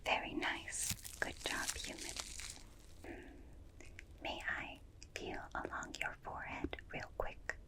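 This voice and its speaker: A woman playing a gentle, curious alien in an ASMR roleplay, speaking in soft breathy whispers with soothing, clinical, repetitive phrasing close to the microphone. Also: lightly acted. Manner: slow, soothing, repetitive, clipped detached phrasing. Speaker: ASMR performer. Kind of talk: ASMR roleplay, personal attention. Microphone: close binaural microphone.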